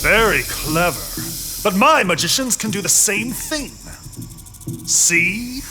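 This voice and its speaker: An actor playing an arrogant, mocking, stubborn Egyptian Pharaoh in a dramatized children's Bible story. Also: male voice, high voice, very animated, takes it slowly, noisy background, full character voice. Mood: smug, mocking. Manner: haughty, scoffing. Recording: loud music behind the voice. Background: music.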